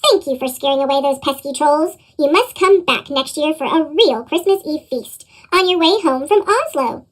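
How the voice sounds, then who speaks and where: Kindly protective storybook father, read by a woman, squeaky high pitch, lively swings. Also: normal speed, hardly any pauses, rough recording. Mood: grateful.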